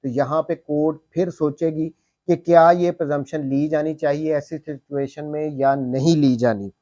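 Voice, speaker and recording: A man's voice: fairly high pitch, fairly even intonation, normal speed, warm tone, no room sound. A man with a Pakistani accent lecturing on law, reading out formal text and explaining it step by step with repeated, rephrased points.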